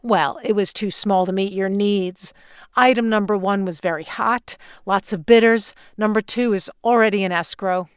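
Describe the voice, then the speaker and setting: A woman's voice: medium pitch, steady tone, normal speed, clear, resonant tone, dry recording, studio quality, lightly acted. A woman playing a pushy, evasive real estate agent in an audio drama, with a salesy, reassuring manner. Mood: businesslike.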